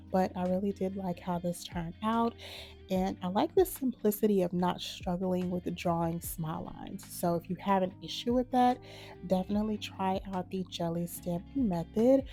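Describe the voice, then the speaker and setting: Slightly hoarse woman, mellow tutorial voice. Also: lowish voice. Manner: relaxed, matter-of-fact instructions. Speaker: nail art channel host. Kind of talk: casual step-by-step tutorial narration.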